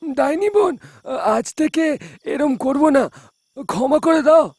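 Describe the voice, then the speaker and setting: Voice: sits high, unhurried, darkish tone, dry recording, clean studio sound. A performer voicing a greedy, cowardly rickshaw driver with a whining, exaggerated cartoon delivery. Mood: apologetic. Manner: whining, wailing pleas.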